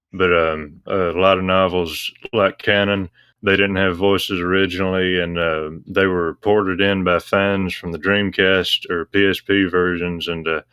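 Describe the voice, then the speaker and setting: Man, deep voice, monotone, casual explainer, hesitant and measured. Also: smooth, dry recording. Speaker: guest.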